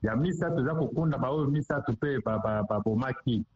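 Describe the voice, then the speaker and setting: A man's voice: mid-range voice, a little fast, husky, no room sound. A man with a Congolese accent giving an explanatory news interview as a provincial official, switching between two languages. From Democratic Republic of the Congo. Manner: code-switches between Lingala and French. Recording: muffled, dull lo-fi line.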